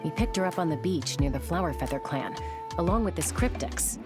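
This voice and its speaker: A woman's voice: deep voice, animated, a little fast, over loud music. A woman voicing a cool, confident, easygoing young adventurer in a video game. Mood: matter-of-fact. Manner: laid-back, colloquial.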